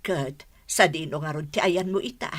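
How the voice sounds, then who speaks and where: A woman with an Ilocano accent playing a worried, pleading mother in a radio drama, in a husky voice. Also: sits low, moderate pace. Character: pleading elderly mother, anxious to mend her son's marriage.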